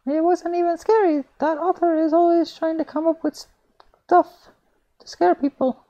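A man voicing a chatty young female art student in a thin falsetto, reading a visual novel aloud. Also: level tone, normal speed, dark tone, lo-fi sound. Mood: dismissive.

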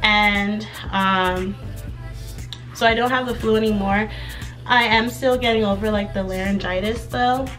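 A young woman chatting casually in a vlog update, a bit hoarse from laryngitis and rambling while she does her hair in her bedroom. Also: mid-range voice, steady tone, unhurried, a bit bright, faint music behind. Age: young adult. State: slightly hoarse from recent laryngitis. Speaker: vlogger. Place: bedroom with clothes rack, indoors.

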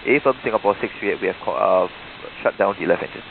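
A man's voice: sits low, fast, phone-quality sound. A man working as an airline pilot, giving polite, procedural readbacks over aviation radio and often repeating phrases. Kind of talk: radio readbacks to air traffic control. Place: aircraft radio transmission. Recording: thin cockpit radio with clear hiss.

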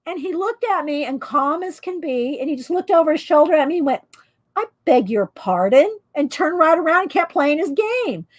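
A woman, chatting loudly while she paints and tells stories on her coloring livestream, laughing often and answering viewers. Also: sits high, expressive, normal speed. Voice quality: loud voice. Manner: chatty storyteller, laughs often, reads live chat. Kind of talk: casual chat while painting. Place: home craft table, indoors.

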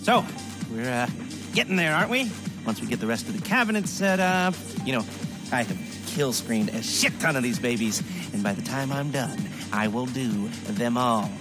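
Game heist boss, played by a man: American, middle-aged, fussy and nervous, swooping delivery over loud music. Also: medium pitch, animated, natural voice. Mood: excited, explaining. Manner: halting, fussy, chuckling awkwardly. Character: nervous, nerdy middle-aged male criminal heist planner. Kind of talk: video game cutscene acting. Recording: music behind the voice.

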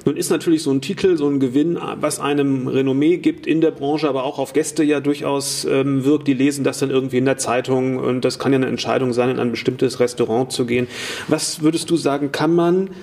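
A man's voice: mid-range voice, steady tone, fairly quick, darkish tone. A man hosting an interview podcast, asking long, rambling questions that he restarts along the way. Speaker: podcast host.

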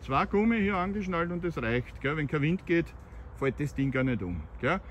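A man's voice: a little high, expressive, moderate pace, a bit bright, slight background noise. A man with a Viennese accent chatting casually as a CB radio hobbyist outdoors, often ending phrases with tag questions. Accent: Viennese Austrian. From Austria. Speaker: CB radio hobbyist filming a vlog. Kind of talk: casual radio chat and commentary. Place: outdoors by bushes on a roadside. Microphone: handheld phone filming.

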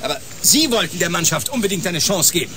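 An actor playing a cynical, combative scientist in a sci-fi radio drama, sarcastic and dismissive. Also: male voice, high voice, sing-song, quick pace, pushed and bright, a little hiss or hum, full character voice. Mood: sarcastic, indignant. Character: cynical, combative male scientist, sneering and callous.

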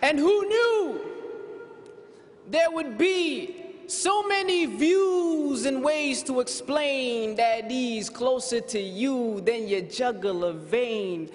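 A man in his thirties performing rhythmic, rhyming spoken word poetry at a podium on a large conference stage, moving between soft lines and shouts. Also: very high-pitched, expressive, slow. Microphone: podium microphone. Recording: hall reverb.

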